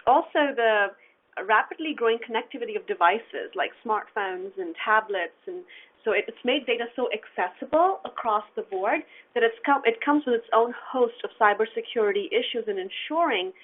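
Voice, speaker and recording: Woman it exec on a webinar panel, clear and organized, sounds like a phone. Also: a little high, expressive, normal speed. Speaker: IT executive, panelist. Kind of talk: panel discussion. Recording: phone-like narrow audio.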